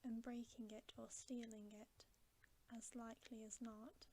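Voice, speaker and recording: A motherly, unflappable she-beaver from a storybook, whispered by a woman reader. She fusses in a breathy, homely way, slow and practical, with soft mellow tones. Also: on the high side, little pitch movement. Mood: fussy, homely. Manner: coolly fussing, homely.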